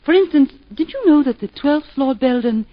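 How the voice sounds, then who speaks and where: A composed, teasing housewife. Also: female voice, high-pitched, animated, normal speed. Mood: sly. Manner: gently teasing, lightly ironic. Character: composed, witty English housewife and mother, teasing and brave. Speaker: wife and mother. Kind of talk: 1940s radio acting. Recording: dull 1940s radio broadcast.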